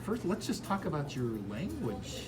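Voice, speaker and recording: A man's voice: on the high side, wildly swooping pitch, moderate pace. A man hosting a conversational radio business interview, affirming and rephrasing as he goes. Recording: clear crowd chatter behind the voice. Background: crowd chatter.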